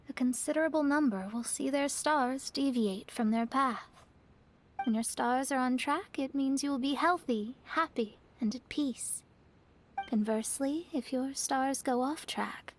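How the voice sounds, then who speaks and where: A woman voicing a young astrologist in a game, earnest, proud and wistful, with measured, reflective delivery. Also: sits high, a little hiss or hum. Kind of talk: scripted game voice acting.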